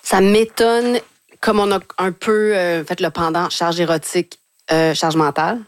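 Woman host, low voice, dry close sound, brisk reader of listener emails. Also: steady tone, no echo. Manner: reads listener emails aloud, brisk and curious. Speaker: radio host. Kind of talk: hosting a call-in advice show.

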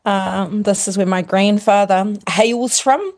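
A middle-aged woman with a New Zealand accent talks like a teacher, laying out examples one after another. She pauses to rephrase and restart, steady and friendly. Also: mid-range voice. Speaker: guest, primary school teacher. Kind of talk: casual explanatory chat, mixing Māori and English.

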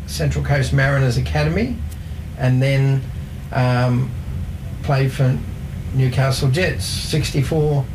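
A man talks through football facts in a relaxed sports chat, stopping often for ums as he checks his notes. His voice has a gravelly edge, and steady ambient noise sits behind him. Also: mid-range voice. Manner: hesitant, many ums, reads off notes. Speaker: co-host presenting football trivia.

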